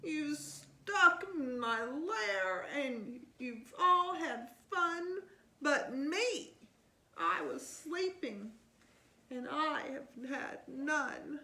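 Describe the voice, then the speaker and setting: A woman's voice: high voice, lively intonation, extremely slow, bright, forceful tone, roomy sound, voice pushed up, full character voice. A woman voicing a big male bear who feels left out, whining and fussing in a read-aloud storybook. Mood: whiny, sulky. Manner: whining, fussy complaint.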